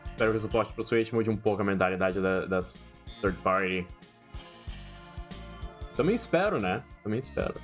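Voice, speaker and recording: A man's voice: on the low side, lively intonation, normal speed, pauses often, soft background music. A young man with a Brazilian Portuguese accent, a streamer giving rambling, profane, slangy gaming commentary.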